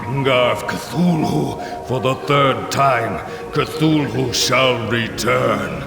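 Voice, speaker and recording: An actor playing a fanatical cult leader in a video game, intoning grandly as if summoning an ancient god. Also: male voice, medium pitch, gravelly. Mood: solemn triumph. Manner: grandiose, slow ritual chanting. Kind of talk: video game villain acting. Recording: loud music over the voice.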